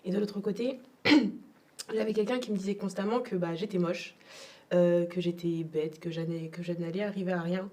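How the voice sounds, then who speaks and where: A woman's voice: on the low side, steady tone, normal speed, mellow, recorded from a distance. A young adult woman from Togo, telling her personal story as an interview guest in a reflective, hesitant way. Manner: reflective, repeats words, frequent hesitations.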